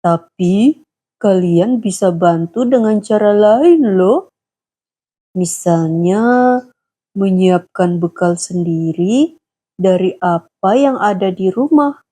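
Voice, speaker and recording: A woman voicing a gentle, patient father who explains things slowly to his young daughters in a children's story. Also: mid-range voice, expressive. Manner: slow, soft, explaining. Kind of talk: storybook dialogue.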